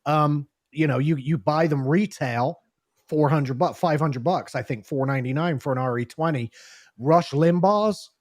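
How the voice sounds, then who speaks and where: A man's voice: on the high side, normal speed, a little mellow, no echo, clean studio sound. A man hosting a live talk show, speaking knowledgeably and often repeating his words as he goes. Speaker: show host. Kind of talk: casual chat on a live show.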